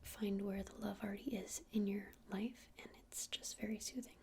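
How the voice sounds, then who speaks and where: Whispering, creaky-edged witch shopkeeper played by a woman. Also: medium pitch, fairly even intonation, a little hiss or hum, natural voice. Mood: soothing, earnest. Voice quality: breathy whisper with creaky edges. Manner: unhurried, hesitant, explaining gently to a customer. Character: gentle witch keeping a crystal shop. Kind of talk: ASMR roleplay, softly whispered.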